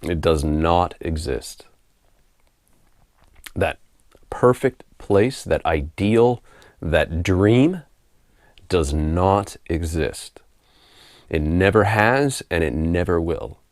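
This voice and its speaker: A middle-aged man with a hoarse voice from a head cold, talking to camera at home in a relaxed, rambling way, often repeating words as he gathers his thoughts and laughing softly now and then. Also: deep voice, very slow, long pauses, no room sound, clean studio sound. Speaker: spiritual author and video host. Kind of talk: casual unscripted monologue to camera. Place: home room.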